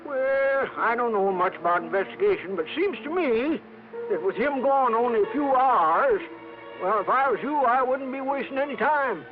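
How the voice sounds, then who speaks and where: A man's voice: extremely high pitch, expressive, moderate pace. An actor playing a brash, folksy rich American oilman with shady ways and blustering, ungrammatical talk in a 1950s radio drama. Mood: folksy, coaxing. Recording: dull vintage radio recording with music.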